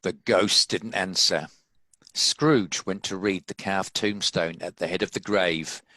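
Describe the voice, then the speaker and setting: A man's voice: sits low, steady tone, slow, pushed and bright, no room sound. A man reading scripted story narration as the narrator. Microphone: home video-call recording.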